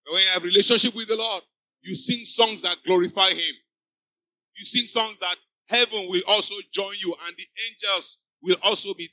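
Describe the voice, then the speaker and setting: A man's voice: sits high, bright, forceful tone, no echo, rough recording. A man preaching a sermon to a church congregation. He exhorts the listeners with emphatic, repeating refrains. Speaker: pastor. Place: church before a live congregation.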